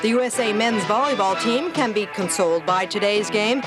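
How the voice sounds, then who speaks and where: A woman's voice: medium pitch, moderate pace, over loud music. A woman with an American accent narrating a feature news report in a playful, wry way.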